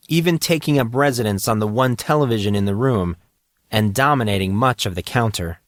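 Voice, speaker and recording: A man's voice: medium pitch, fairly bright tone, no echo, studio quality. A man reading scripted fiction as an audiobook narrator.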